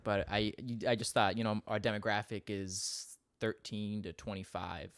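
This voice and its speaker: Fast-talking young man, slightly low voice. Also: steady tone, a bit bright, clean tone, no echo, studio quality. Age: young adult. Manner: fast-talking, rambling, laughs often. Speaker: podcast co-host. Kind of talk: casual chat. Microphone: studio podcast mic.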